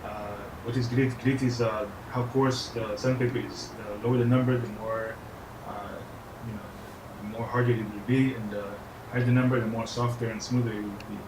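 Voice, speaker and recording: A low-voiced man gives an informal tutorial in a put-on French accent. His delivery is flat and halting, with hesitant phrasing. The recording is roomy and echoey. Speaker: tutorial presenter. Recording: roomy echo, faint background noise.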